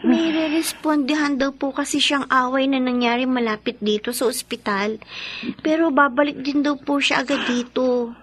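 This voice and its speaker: Very high-voiced plaintive Filipino child. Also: female voice, moderate pace, slightly rough. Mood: worried. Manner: soft, respectful, plaintive. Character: worried, grieving child of a poor family. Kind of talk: radio drama acting.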